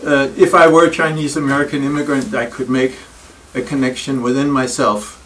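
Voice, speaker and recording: A man's voice: mid-range voice, little pitch movement. Older Danish-accented man lecturing in a library. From Denmark. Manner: thoughtful and wry, with pauses and self-deprecating asides. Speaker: speaker giving a library talk. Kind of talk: reflective public lecture. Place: wood-paneled library room. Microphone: far camera. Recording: distant room recording with faint noise.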